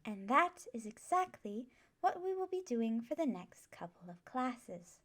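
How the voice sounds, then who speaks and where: A woman playing a gentle, scholarly magic professor in an ASMR roleplay, patiently instructing a class in a measured, reassuring way. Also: on the high side, big pitch swings, full character voice. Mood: calm, instructive.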